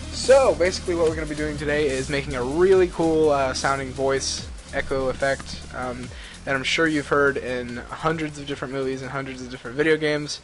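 A man giving a casual, rambling software tutorial, recorded on a computer microphone a little away from him. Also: medium pitch. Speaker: tutorial host. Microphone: computer mic a little far away. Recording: clearly hissy amateur computer mic recording.

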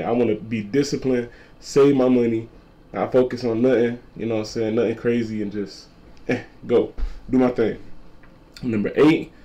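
A twenty-year-old man with a deep voice, a content creator talking casually to camera and repeating his points. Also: warm tone. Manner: repetitive, laid-back slangy talk, repeats lines. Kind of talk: casual vlog talk to camera.

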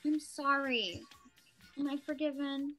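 Young woman acting high, bright teasing sister. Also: fairly even intonation, slow pace, soft background music. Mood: apologetic. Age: young adult. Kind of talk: acted play reading. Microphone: video call.

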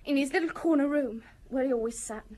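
Actress as a high-voiced, defiant young woman. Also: quick pace, darkish tone, close to an everyday voice. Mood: shaken, defensive. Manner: blunt, colloquial, defensive. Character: defiant, grieving young working-class woman, gangster's girlfriend. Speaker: actress in radio drama. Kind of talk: radio drama acting.

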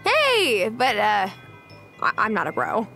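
A woman's voice: fairly high pitch, huge pitch swings, slow, pushed and bright, soft background music, exaggerated voice. An actress playing a friendly young woman new in town, hesitant and earnest, in a video game. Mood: friendly, unsure. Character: friendly young woman newly moved to a rural island.

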